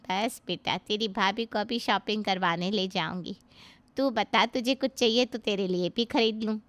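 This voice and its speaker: A woman playing a doting, gentle mother. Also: mid-range voice, moderate pace, no echo, lightly acted. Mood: affectionate. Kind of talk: Hindi family drama acting.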